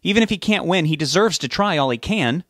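A man's voice: medium pitch, brisk, dry recording, studio quality, close to an everyday voice. A man voicing a bossy, feisty wife in an audiobook, scolding yet kindly. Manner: snappish, scolding.

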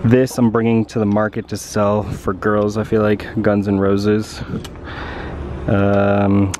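A man's voice: lowish voice, darkish tone. Young man vlogging casually over background noise. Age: young adult. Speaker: vlogger and clothing reseller. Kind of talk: casual vlog chat. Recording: clear outdoor and vehicle noise.

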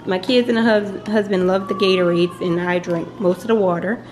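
A woman's voice: mid-range voice, fairly quick, soft background music, poor audio. A young woman, a college mom, chatting casually in her kitchen as she shows off her groceries, laughing now and then. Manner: chatty, relaxed, laughs often. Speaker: vlogger, college mom of three. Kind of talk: casual grocery haul vlog. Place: home kitchen, indoors.

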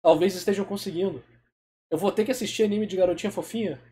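A man's voice: sits high, quick pace, warm tone. A man reacting live to a video on stream, making joking, self-deprecating asides to his chat.

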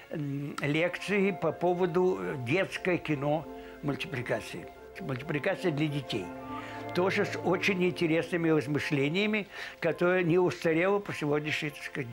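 A man in his seventies reminisces in an interview, effusive and halting as he searches for words. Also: a little high. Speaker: interviewee recalling a colleague. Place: indoors, book-lined room. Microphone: lapel microphone. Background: music.

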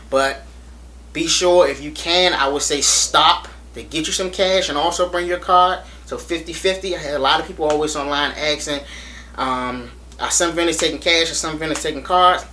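A young American man vlogging to camera in a small room, hyped and animated, repeating himself as he urges viewers to come. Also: fairly high pitch. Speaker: reptile channel vlogger. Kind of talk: casual to-camera promo vlog. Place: small indoor room against a plain wall.

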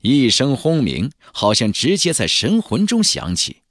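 A man narrating a fantasy audiobook in a measured, theatrical storytelling delivery. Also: medium pitch, huge pitch swings, a bit bright, no echo, studio quality. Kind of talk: dramatic scripted narration of a fantasy novel.

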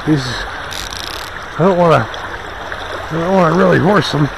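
A man talking casually to himself as he fishes, muttering encouragement and exclamations, with a gravelly voice. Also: a little high. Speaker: angler filming his own fishing. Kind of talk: casual self-talk while fishing. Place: outdoors on a wooded river. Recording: noisy outdoor recording with river noise. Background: rushing river water.

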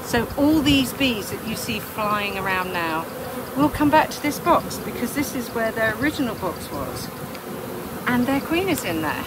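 A woman's voice: a little high, very animated, moderate pace. An older woman beekeeper outdoors in a garden, chatting as she explains each step of her work to the camera. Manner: delighted, exclaiming. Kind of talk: hands-on demonstration vlog. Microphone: camera close by, wearing a bee veil. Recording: outdoor noise with buzzing bees.

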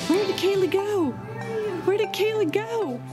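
Woman, 40s, high voice, bubbly, handheld camera, background music. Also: a little slow. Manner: bubbly, upbeat, laughs often. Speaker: family vlogger. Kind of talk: casual vlog narration. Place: restaurants, shops and hotel room, indoors. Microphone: handheld camera at arm's length.

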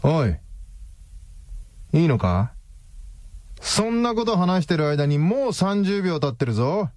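Curt detective, animated delivery. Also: male voice, fairly high pitch, unhurried, no echo, clean studio sound. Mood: defiant. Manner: curt, commanding. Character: tough, cool-headed male private detective, defiant. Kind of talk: radio drama acting.

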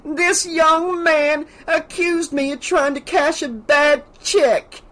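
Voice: mid-range voice, a little slow, a bit bright, slightly rough. A narrator performs a scheming, spiteful woman from an audiobook novel. She is imperious and hammy, with a theatrical air of wounded dignity and menace. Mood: feigned distress.

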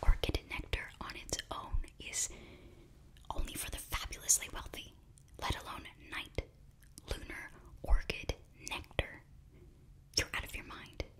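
A young woman playing an earnest, flustered witch librarian in a whispered, breathy ASMR roleplay, speaking haltingly close to the microphone. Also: natural voice. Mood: exasperated. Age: young adult. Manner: hushed, halting, fussy. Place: small indoor set, close to microphone.

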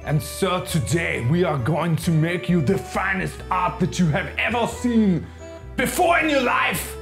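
A man's voice: sits high, expressive, unhurried, creaky, fairly bright tone, faint music behind, exaggerated voice. A man playing a pompous, theatrical French artist host, in a mock French accent with broken English. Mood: grandiose. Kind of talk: comedic art show hosting.

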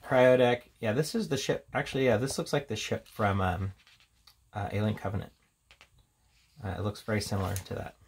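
Low, gravelly man reviewing casually. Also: brisk. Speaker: tabletop game reviewer. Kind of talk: casual unscripted product walkthrough. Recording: steady background noise, handling clicks.

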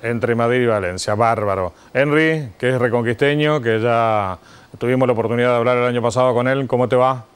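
A man's voice: mid-range voice, a little fast, clean tone. A middle-aged man with an Argentine accent, hosting a live TV interview in a conversational way. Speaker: TV host. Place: TV studio desk. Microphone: lapel mic.

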